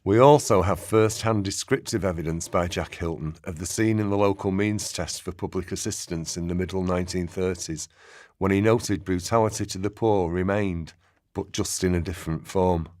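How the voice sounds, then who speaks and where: A man's voice: low-pitched, fairly even intonation, moderate pace, darkish tone, no echo. A man narrating a scripted, documentary-style radio play.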